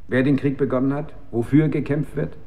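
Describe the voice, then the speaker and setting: A man's voice: mid-range voice, fairly even intonation, brisk, warm tone, lightly acted. An actor playing a disillusioned male scientist in a radio drama, reflective, hesitant and articulate. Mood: troubled, reflective. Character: brooding, disillusioned male scientist at a weapons works. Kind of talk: German radio drama acting.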